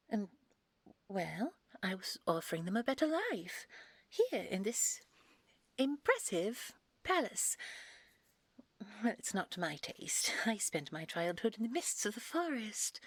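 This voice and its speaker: Woman playing a fluttery, breathy fairy noblewoman. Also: mid-range voice, no echo, exaggerated voice. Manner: prim, fluttery, hesitant politeness. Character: genteel, vain, naive fairy noblewoman. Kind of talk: audio roleplay acting.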